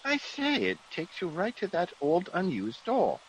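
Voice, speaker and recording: An actor playing a fussy, sceptical British gentleman in a vintage radio drama, genteel, blustery and exclamatory. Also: sits high, huge pitch swings, a little slow, full character voice. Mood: curious. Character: fussy, excitable, sceptical English gentleman of the manor. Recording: noisy vintage radio recording.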